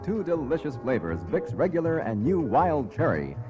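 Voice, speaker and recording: A man delivers a vintage radio commercial. His announcer delivery swings in pitch, over clear music on a dull, band-limited old recording. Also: very animated, normal speed. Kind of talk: 1950s radio ad read.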